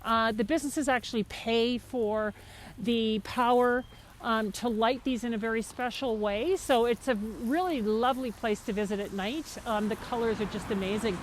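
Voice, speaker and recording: Woman, association director, upbeat and descriptive, higher pitch, faint street ambience. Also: moderate pace, slight background noise. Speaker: business association executive director. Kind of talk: documentary interview.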